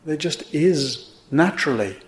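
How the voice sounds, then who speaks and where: A man teaching a guided meditation in gently instructive, unhurried phrases with pauses between them. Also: on the high side, expressive. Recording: roomy, echoing indoor sound.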